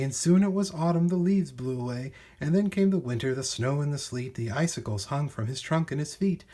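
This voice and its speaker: Husky, very animated male storyteller. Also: mid-range voice. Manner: theatrical, rhythmic, emphatic. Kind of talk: expressive children's storybook reading in rhyme.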